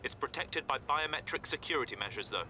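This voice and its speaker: An actor playing a brisk, formal intelligence officer briefing an agent in a video game. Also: male voice, mid-range voice, expressive, sharp tone, soft background music. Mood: businesslike. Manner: formal, businesslike. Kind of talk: scripted game voice acting.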